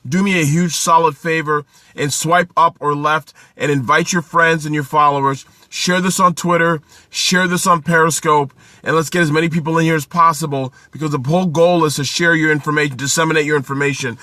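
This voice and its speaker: A man's voice: fairly high pitch, fairly even intonation, brisk, sharp tone, no echo. A man from Canada in his thirties, hosting a casual live stream outdoors, greeting viewers by name and giving upbeat business advice. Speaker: live-stream host, accountant and author. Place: outdoors in the sun.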